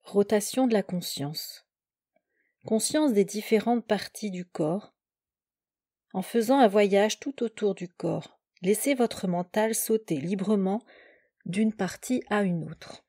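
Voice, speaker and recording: Slow, mellow-voiced woman guiding relaxation. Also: mid-range voice, slightly rough, no echo, studio quality. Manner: calm, measured instructions in short, separated phrases. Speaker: yoga nidra instructor. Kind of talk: guided relaxation meditation.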